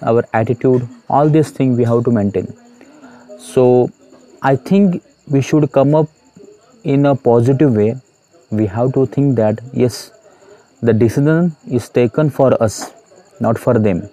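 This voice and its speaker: Earnest Indian man, mellow voice. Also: medium pitch, poor audio. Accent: Indian English. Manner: earnest, repetitive, many "uh" pauses. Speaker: YouTube channel host addressing students. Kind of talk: earnest motivational talk to camera.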